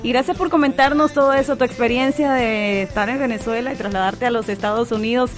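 Higher-voiced woman radio host. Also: steady tone, fast. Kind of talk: radio interview hosting. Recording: radio studio, faint music underneath. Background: faint background music.

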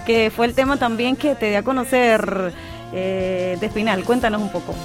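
Female radio host, somewhat high pitch, polished formal interviewer, light music under. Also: steady tone, normal speed. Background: faint background music.

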